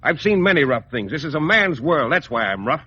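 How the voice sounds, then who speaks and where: An actor playing a rugged, rough lumberjack turned painter in an old radio comedy, terse and deadpan. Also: male voice, fairly high pitch, fairly quick. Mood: tough, boastful. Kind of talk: 1940s-50s radio comedy acting. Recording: muffled, noisy vintage radio recording.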